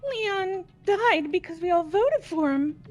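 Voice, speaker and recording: A woman plays a soft-hearted, timid student in a video game dub. The voice is extremely high and hesitant, weighed down by guilt, with music playing underneath. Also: expressive, slow, exaggerated voice. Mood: distraught. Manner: hesitant, repeating words. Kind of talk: amateur game voice acting.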